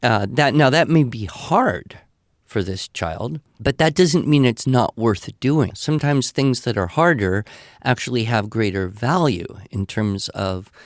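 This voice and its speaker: A man's voice: mid-range voice, expressive, measured pace, no room sound, studio quality. An older man with an American accent giving conversational advice in a reassuring, explanatory manner. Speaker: podcast expert answering listener questions.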